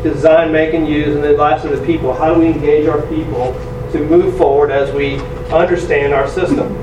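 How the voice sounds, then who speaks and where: A man in his forties giving a loud, energetic, self-deprecating presentation to a conference audience. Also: fairly high pitch, normal speed. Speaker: conference speaker. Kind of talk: presentation talk. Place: large conference room. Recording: echoey room from far off, noisy.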